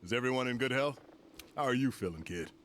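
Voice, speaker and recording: An actor playing a dignified, courteous senior commander in a video game, speaking formally and with measure. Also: male voice, medium pitch, animated, fairly bright tone, slight background noise, natural voice. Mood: gracious, calm. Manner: formal, measured, stately praise. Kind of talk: video game cutscene acting.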